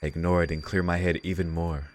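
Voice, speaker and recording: A man's voice: deep voice, little pitch movement, quick pace. A man hosting a let's read, reading visual novel narration aloud and dropping in casual asides. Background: game music.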